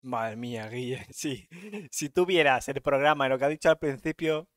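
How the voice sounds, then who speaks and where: A man's voice: mid-range voice, normal speed, a bit bright, dry recording, clean studio sound, faint music behind. A young man on a headset mic, reacting to a dating show with teasing, sarcastic commentary. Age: young adult. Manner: teasing, sarcastic, laughs often. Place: indoor room.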